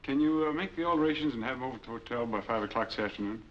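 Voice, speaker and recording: An actor playing a guarded, edgy drifter in a 1940s crime film, speaking in a clipped, brusque way. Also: male voice, medium pitch, racing, close to an everyday voice. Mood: businesslike. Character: edgy, evasive male drifter, guarded and brisk. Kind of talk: 1940s film noir acting. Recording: muffled old film soundtrack, faint noise.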